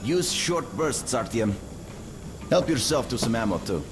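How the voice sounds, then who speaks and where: A man playing a friendly, brisk underground survivor in a video game, matter-of-fact and folksy in his talk. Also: medium pitch, lively intonation, music in the background, close to an everyday voice. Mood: businesslike, helpful. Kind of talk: video game character dialogue.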